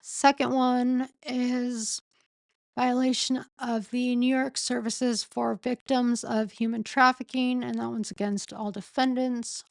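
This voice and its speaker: A woman losing her voice reads slowly through a legal document, pausing and correcting herself as she goes. Her worn throat gives the voice a gravelly fry. The sound is close and dry, with no room around it. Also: fairly high pitch, flat delivery, no echo. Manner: slow, halting reading with pauses and self-corrections. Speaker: streamer reading a court filing. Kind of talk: casual late-night live stream.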